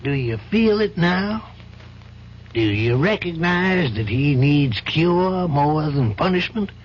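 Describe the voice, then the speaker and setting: An actor playing an older, compassionate judge in an old-time radio drama, reasoning in a measured, probing, paternal manner. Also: male voice, a little high, expressive. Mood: earnest, admonishing. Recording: dull, faintly noisy old radio recording.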